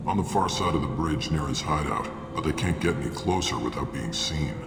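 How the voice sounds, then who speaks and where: An actor playing a blunt mercenary logistics officer who briefs new hires in a matter-of-fact way. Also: male voice, a bass voice, brisk. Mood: briefing. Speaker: mercenary recruiter. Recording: echoey game mix with music.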